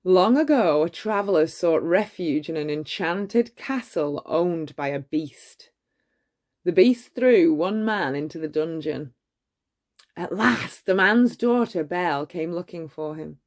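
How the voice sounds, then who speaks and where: A woman reading a bedtime story aloud in an expressive, measured way. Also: on the low side.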